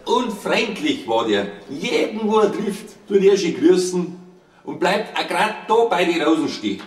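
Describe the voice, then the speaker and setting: Bavarian man, lively author reading comic rhyming tales, playful and wry, roomy distant recording. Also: high voice, a little slow. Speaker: author reading from his own book. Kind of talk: comic verse storytelling in dialect, read aloud live. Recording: roomy live recording, distant mic, faint noise.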